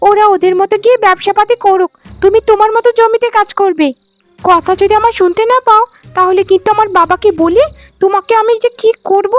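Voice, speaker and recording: Woman acting a shrill-high young cartoon wife, haughty, scolding, contemptuous, background music. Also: no echo. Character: spiteful, threatening young wife of youngest brother. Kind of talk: cartoon voice acting in a family drama.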